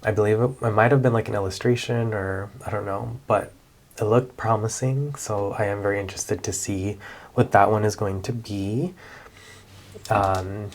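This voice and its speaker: A man, chatting casually in a relaxed vlog about his doll collection, with many fillers and self-corrections. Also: lowish voice, a little hiss or hum. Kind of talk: casual show-and-tell vlog.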